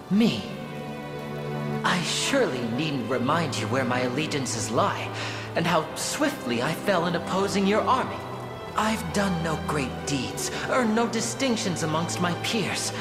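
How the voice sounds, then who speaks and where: A man playing a haughty, theatrical young sorcerer lord in a video game, bitter and hungry for his mother's praise. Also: fairly high pitch. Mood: sullen, defeated. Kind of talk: video game cutscene acting. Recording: echoey, background music behind.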